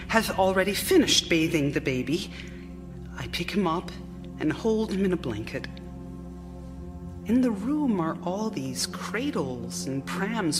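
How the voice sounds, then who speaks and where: A woman playing a fragile, anguished young Victorian wife in live radio theater, with deliberate, theatrical intensity. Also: fairly low pitch. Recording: live theater recording with music underneath.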